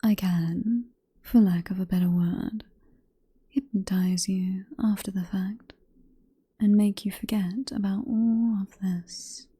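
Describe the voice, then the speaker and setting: A woman performs as a seductive British vampire professor in an ASMR roleplay. She speaks in a very breathy whisper with a dark, mellow tone. Her delivery is slow and coaxing, possessive and hypnotic, with a teasing, condescending fondness. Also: mid-range voice, fairly even intonation.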